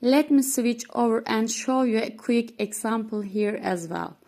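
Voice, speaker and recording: A woman whose first language is Turkish, presenting a scripted walkthrough of software she built. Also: on the high side, fairly even intonation, unhurried, vocal fry. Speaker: developer demoing her software. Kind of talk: scripted screen-recording walkthrough.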